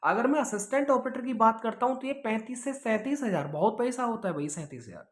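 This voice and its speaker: A man's voice: very high-pitched, animated, fairly quick. Man teaching an informal online class. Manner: explanatory, repeats himself, with check-in tags; mixes English words into speech. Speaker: exam coaching teacher. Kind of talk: informal online lesson, answering student questions.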